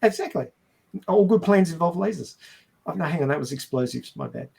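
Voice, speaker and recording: Podcast panelist, male, slightly high voice, explains in a halting, wry way, casual discussion style. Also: animated, gravelly, dark tone, breathy edge. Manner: halting, explanatory, wryly jokey. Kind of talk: casual film and science discussion.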